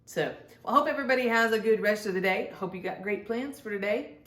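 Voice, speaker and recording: A woman reading a children's story aloud in an expressive storytelling style, speaking as if to young listeners. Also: mid-range voice, fast, roomy sound. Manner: addresses young children directly, acts out dialogue. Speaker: children's storybook reader and host.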